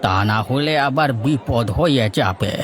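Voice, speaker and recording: A man's voice: mid-range voice, lively intonation, a little slow, faint music behind, natural voice. A man voicing a grumbling village trader uncle who gives cautionary advice in a cartoon folk tale. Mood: cautioning.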